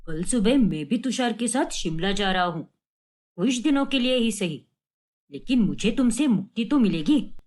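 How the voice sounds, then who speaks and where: A woman's voice: on the high side, animated, moderate pace, a little mellow, close to an everyday voice. A woman voicing a middle-aged father in a cartoon story, sarcastic and firm, with a theatrical, taunting manner.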